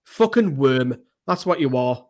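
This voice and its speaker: A man co-hosting a live fan stream, calling the match and yelling at the screen, swearing freely. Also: medium pitch, lively intonation, unhurried, slight background noise. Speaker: fan channel co-host. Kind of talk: excitable live match commentary.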